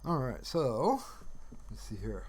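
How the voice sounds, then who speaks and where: A man's voice: medium pitch, wildly swooping pitch, takes it slowly, breathy edge. A man chatting casually on a livestream, rambling unhurriedly and musing aloud to himself. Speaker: live streamer. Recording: loud music over the voice. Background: loud music.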